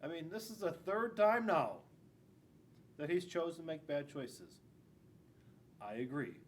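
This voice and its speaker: Animated, high-pitched American man reading aloud. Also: unhurried. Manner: animated, dramatic, asking the children questions. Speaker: school resource police officer. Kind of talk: reading a children's picture book aloud. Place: school classroom, indoors. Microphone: camera a few feet away. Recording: noticeable room noise with low rumble.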